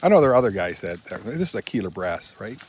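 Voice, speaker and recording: A man's voice: on the low side, very animated, quick pace, darkish tone, breathy edge. A man in his seventies chatting in a rambling, casual vlog while working outdoors on rural land, often correcting himself as he goes, recorded on a handheld phone camera. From Michigan, USA. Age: 70s. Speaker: vlogger.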